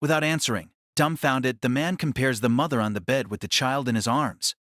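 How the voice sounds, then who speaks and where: A man narrating a novel as a dramatic audio reading, solemn and theatrical, with measured phrasing. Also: mid-range voice, fairly bright tone, dry recording, studio quality.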